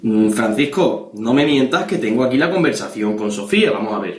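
A man's voice: medium pitch, lively intonation, a little fast, a crowd in the background, voice pushed down, lightly acted. Casting: young man as stern, preachy Spanish policeman dad on a prank call, insistent, accusing, echoey bedroom. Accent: Spain. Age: young adult. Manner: insistent, accusatory, repeating the listener's name. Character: stern, preachy, threatening policeman father of a teenage girl. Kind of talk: prank phone call improvisation. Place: small bedroom, indoors. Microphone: webcam or camera at desk distance.

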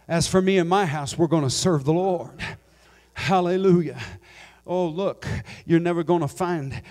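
A middle-aged American man preaching a Pentecostal sermon in a church sanctuary on a handheld mic, his delivery swelling from quiet scripture reading to near-sung, shouted appeals. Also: fairly high pitch, a little slow. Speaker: pastor. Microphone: handheld microphone.

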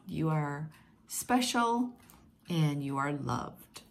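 A woman whose first language is Portuguese reads picture books aloud to children in a gentle, encouraging, singsong way. She is filmed at home on a close webcam. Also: low-pitched, animated, very slow. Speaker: teacher reading picture books. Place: home room with plants, indoors. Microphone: webcam at close range.

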